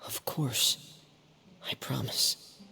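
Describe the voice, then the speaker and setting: A young man playing a shy, gentle, withdrawn teenage boy in a video game, speaking in a halting, subdued way. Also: soft background music, heavily acted. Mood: quiet, earnest.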